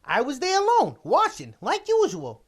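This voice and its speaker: Squeaky, animated gangster's underling. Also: female voice, normal speed, soft background music. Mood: flustered, excited. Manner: slangy, ungrammatical speech. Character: uneducated underling reporting to a gangster boss. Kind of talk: old-time radio drama acting.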